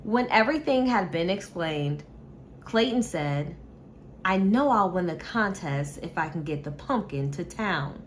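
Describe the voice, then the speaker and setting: A woman reading a children's story aloud with measured, expressive delivery, like a teacher. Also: lowish voice, slight background noise.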